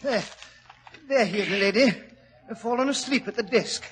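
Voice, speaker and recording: Polite butler, formal, deferential, faint hiss, old radio play. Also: male voice, very high voice, normal speed. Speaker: Peters. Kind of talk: 1940s radio acting.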